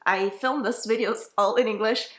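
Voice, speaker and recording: A woman's voice: on the high side, fairly even intonation, normal speed. A young woman with a Brazilian Portuguese accent, a native Portuguese speaker, giving a casual, enthusiastic book review that rambles and corrects itself as she goes.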